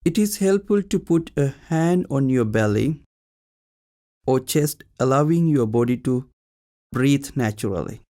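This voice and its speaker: Slow-paced man guiding a meditation. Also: mid-range voice. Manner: calm, instructional, with long pauses between short phrases. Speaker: meditation teacher. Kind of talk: guided meditation narration.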